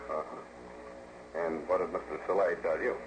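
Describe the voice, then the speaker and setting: An American actor playing a wry, clipped, tough private detective in an old radio drama. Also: male voice, deep voice, little pitch movement, moderate pace, lightly acted. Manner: wry, clipped, matter-of-fact. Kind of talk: 1940s radio acting, hard-boiled narration. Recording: noisy vintage radio recording.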